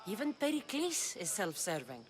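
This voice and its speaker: A woman's voice: mid-range voice, wildly swooping pitch, moderate pace. An actress playing a blunt, sceptical woman warrior of ancient Greece in a video game, direct and challenging. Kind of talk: dramatic video game dialogue.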